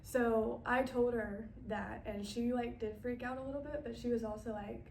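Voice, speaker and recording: A woman in her twenties with a drawl, chatting as a podcast guest. Her voice sits a touch high and runs loose and conversational. She sounds set back from the mic in a reverberant room with faint hiss underneath. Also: steady tone, moderate pace, creaky, darkish tone. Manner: chatty, laughs while telling stories. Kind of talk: casual storytelling. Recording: echoey room, mic at a distance, faint noise.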